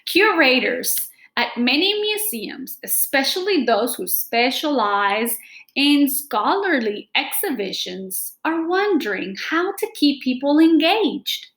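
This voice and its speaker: A middle-aged woman reading aloud to students as a teacher, explaining deliberately and addressing them directly in a room at home. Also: high-pitched, fairly bright tone, roomy sound. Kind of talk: read-aloud lesson with simple explanations. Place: small room at home, indoors. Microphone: laptop or webcam microphone at desk distance.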